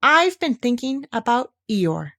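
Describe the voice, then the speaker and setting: A good-natured male toy bear of very little brain, done by a woman reading a storybook. He talks slowly and earnestly, with a lively, swinging melody. He sounds simple and a bit muddled. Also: on the high side, pitched above the performer's own voice, exaggerated voice.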